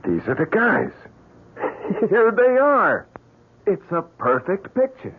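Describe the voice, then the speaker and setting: An agitated, husky radio drama hero. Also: male voice, sits high, big pitch swings, slow, heavily acted. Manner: stammering when pressed, defensive. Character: agitated, evasive young businessman hiding a secret camera. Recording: muffled lo-fi radio drama recording.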